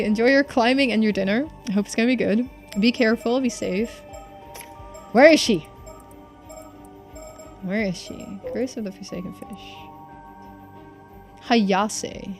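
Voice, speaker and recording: A woman's voice: fairly high pitch, a little slow, pauses often, darkish tone, faint music behind. A woman streaming a game, chatting casually and expressively with her viewers. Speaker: streamer playing and reading out a visual novel game. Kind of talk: game streaming with voiced read-aloud and casual asides.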